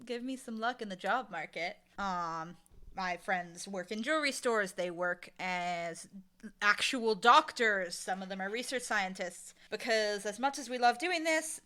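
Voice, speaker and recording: A woman with a very bright, forward voice talks in a casual interview. She is animated and emphatic, with an informal, joking delivery and a mid-range pitch. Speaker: audio drama showrunner and writer, interview guest.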